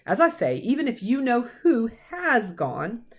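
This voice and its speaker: A woman's voice: fairly high pitch, very animated, unhurried, pitched above the performer's own voice. Lady doing a strict older witch teacher in a kids book, indignant, stresses her words, bit anxious. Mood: irritable, impatient. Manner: emphatic, indignant.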